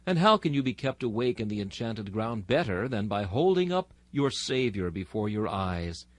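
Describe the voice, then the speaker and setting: A man's voice: lowish voice, very animated, normal speed, dry recording. A man reading a sermon in a solemn, exhorting, oratorical manner. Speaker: narrator reading a historical sermon.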